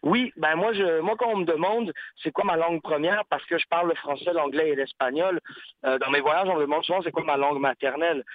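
A man's voice: a little high, fairly quick, dry recording. A man, a guest artist, talking in a casual interview, reflective and searching for words with frequent restarts. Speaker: guest artist, rapper and painter. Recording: tinny phone-like line.

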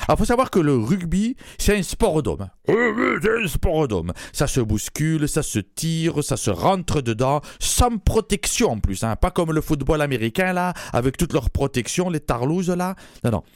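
A man's voice: fairly high pitch, very animated, normal speed, hardly any pauses, no echo, clean studio sound. A man voicing a brash, mannish woman from Toulouse, a former rugby player, with a southern French accent, bawdy and punning, chuckling at his own jokes in a radio comedy sketch. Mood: cheeky.

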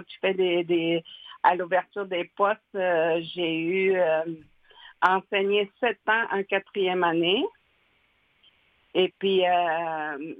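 A woman's voice: fairly low pitch, dry recording. Older woman on a phone line. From Nutashquan, Quebec. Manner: halting, many fillers and restarts, laughs often. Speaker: guest, educational advisor. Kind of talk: radio interview. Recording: dry phone-line interview.

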